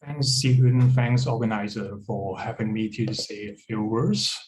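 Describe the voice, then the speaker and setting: A man's voice: on the low side, a little slow, darkish tone, rough recording. Hong kong guy, earnest panel talk, bit of hiss behind. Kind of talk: prepared talk with slides.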